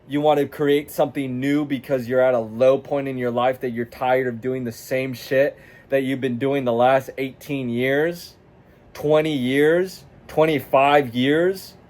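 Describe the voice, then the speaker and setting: A man's voice: a little high, a little mellow. A nineteen-year-old man ranting to camera as a self-improvement vlogger, blunt, profane and confrontational with the viewer. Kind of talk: motivational rant to camera.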